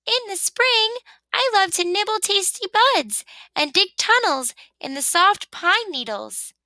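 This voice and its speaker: A tiny cheerful mouse from a children's storybook. The voice is squeaky and extremely high, with excitable swoops and a dry, close sound. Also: female voice, a little slow, no echo, exaggerated voice. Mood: excited, cheerful. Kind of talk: children's storybook read-aloud.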